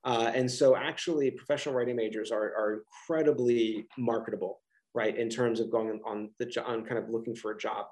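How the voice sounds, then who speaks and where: A man's voice: medium pitch, fairly even intonation, brisk, a little mellow, rough recording. A middle-aged man with a Philadelphia accent, a college professor answering interview questions casually on a video call, with many restarts and fillers.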